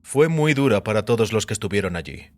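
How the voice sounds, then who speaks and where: A man voicing a mysterious, composed and quietly assured visitor in audio fiction, speaking in a measured, calm way. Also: on the low side, natural voice. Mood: calm, reflective.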